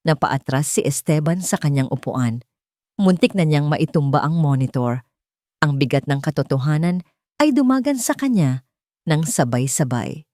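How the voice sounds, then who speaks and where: A woman storyteller reading scripted drama with suspenseful, emphatic delivery and dramatic pauses. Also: deep voice, a little mellow, no room sound, studio quality.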